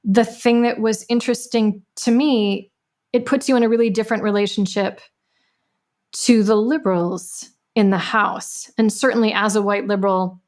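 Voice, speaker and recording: A woman playwright talking reflectively about her own work, halting and correcting herself as she goes. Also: mid-range voice, fairly even intonation, a little mellow.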